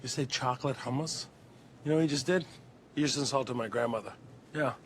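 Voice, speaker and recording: A man's voice: mid-range voice, fairly quick, slight background noise, lightly acted. A man playing an offended customer who scolds someone in a comedy sketch. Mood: indignant. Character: indignant man defending his grandmother's hummus.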